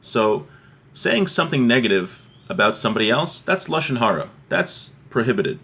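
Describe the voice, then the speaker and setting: A man's voice: mid-range voice, very animated, moderate pace, a bit bright, husky. A man teaching an informal class on religious law, explaining a text step by step with everyday examples.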